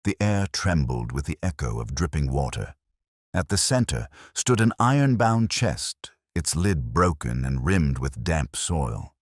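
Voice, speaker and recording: A man narrating a scripted detective story in a measured, atmospheric storytelling manner. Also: extremely low pitch, big pitch swings, no room sound, clean studio sound.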